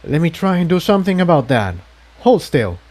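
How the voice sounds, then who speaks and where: A man's voice: on the high side, very animated, brisk, a little mellow, pitched above the performer's own voice. A man voicing an earnest, self-sacrificing male unicorn pony in a storybook reading, talking in a practical, reassuring way.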